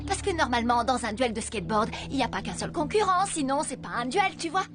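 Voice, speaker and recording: A woman voicing a sharp, persuasive young schoolgirl in a French cartoon, coaxing and emphatic. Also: sits high, animated, quick pace, over music.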